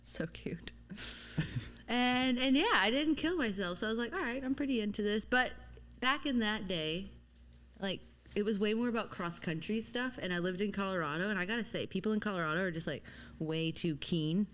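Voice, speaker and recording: A woman's voice: mid-range voice, expressive, moderate pace, fairly bright tone. A woman from Louisiana with a mild Southern accent, chatting casually as a podcast guest and laughing often. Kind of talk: casual chat.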